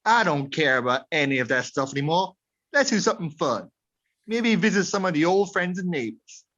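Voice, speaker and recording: A man playing an easygoing, affectionate old husband ghost, placating and gently teasing, on a muffled radio play recording. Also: a little high, big pitch swings, fairly quick. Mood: placating, tender. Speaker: actor in an amateur radio play.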